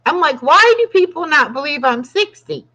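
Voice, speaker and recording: A woman's voice: high-pitched, animated, moderate pace, sharp tone. A woman in her sixties hosting her own channel, chatting casually and rambling with frequent laughs. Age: 60s. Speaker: channel host. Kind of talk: casual live chat. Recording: dull lo-fi sound.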